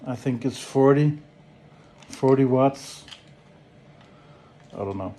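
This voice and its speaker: A man with a Danish accent giving a rambling, casual monologue, hesitant and halting, with blunt swearing. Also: mid-range voice, warm tone, a little hiss or hum. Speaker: solo activist and vlogger.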